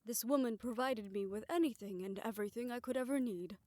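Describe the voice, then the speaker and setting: A woman playing a remorseful scientist in an intimate audio drama, speaking softly and haltingly as if confessing. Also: on the high side, dry recording, close to an everyday voice. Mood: guilty, bitter. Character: remorseful female scientist haunted by her past experiments. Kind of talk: soft, intimate audio roleplay acting.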